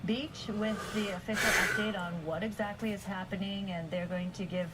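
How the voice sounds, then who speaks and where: A woman's voice: medium pitch, steady tone, moderate pace, background noise. A woman with an American accent giving a live breaking news report as a television anchor.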